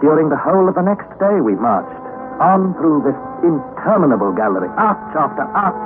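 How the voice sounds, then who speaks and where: A man's voice: fairly high pitch, big pitch swings, normal speed, over loud music. A man playing an anxious young nephew who narrates a perilous expedition in an old radio drama, earnest and formal. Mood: grim narration. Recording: tinny, noisy old radio recording.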